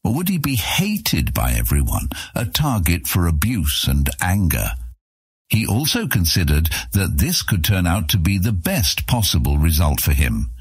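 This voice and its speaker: Male narrator, extremely low pitch, husky, dry close recording, scripted fantasy audiobook storytelling. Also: huge pitch swings, unhurried, dry recording. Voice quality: very deep, husky.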